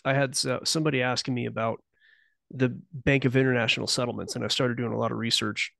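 Gravelly-voiced rambling man guest. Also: mid-range voice, fairly even intonation, fast, fairly bright tone, no room sound, clean studio sound. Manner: rambling, self-interrupting, speculative. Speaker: podcast guest. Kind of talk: casual conversational interview.

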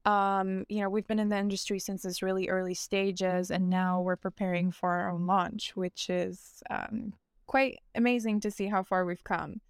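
A woman's voice: medium pitch, level tone, normal speed, clear, resonant tone, no echo, studio quality. A young adult woman answering interview questions in a casual, earnest way, with frequent fillers and restarts. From United States. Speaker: interview guest, fashion founder.

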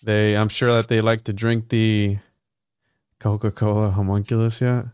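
Chatty co-host with a smooth, dry voice. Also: male voice, lowish voice, level tone, moderate pace, a little mellow, no room sound. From Puerto Rico. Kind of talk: casual livestream chat.

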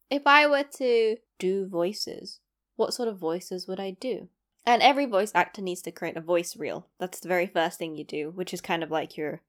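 Young woman, London accent, chatty, self-deprecating, rambling asides. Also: mid-range voice. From East London, England. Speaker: vlogger. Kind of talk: casual chat to camera.

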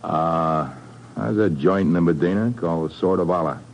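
A man's voice: sits low, normal speed, muffled recording. An actor playing a rueful, defensive American construction supervisor in a 1950s radio drama, talking tough, regular-guy slang. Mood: rueful, casual. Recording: noisy old radio recording.

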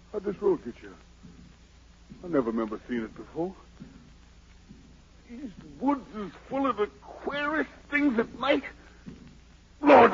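An actor playing a frightened, boastful fugitive emperor with a gravelly American voice in 1940s radio drama, theatrically muttering to himself and crying out. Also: male voice, extremely high pitch, fairly bright tone, heavily acted. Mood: terrified. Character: fleeing self-made emperor, frightened, boastful, haunted, superstitious fugitive. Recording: noisy, muffled 1940s radio broadcast.

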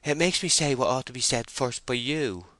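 A man's voice: mid-range voice, expressive, normal speed, a bit bright, no room sound, pitched above the performer's own voice, close to an everyday voice. A man voicing a timid, lovesick young woman in a storybook reading, tremulous, hesitant and pleading. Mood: shy pleading.

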